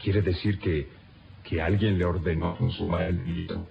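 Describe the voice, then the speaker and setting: Deep-voiced, insistent police captain. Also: male voice, fairly even intonation, vocal fry, faint music behind. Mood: incredulous. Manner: insistent, formal, halting with disbelief. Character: incredulous, dutiful male police captain interrogating a prisoner. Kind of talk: old radio serial acting. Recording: dull, noisy old radio recording.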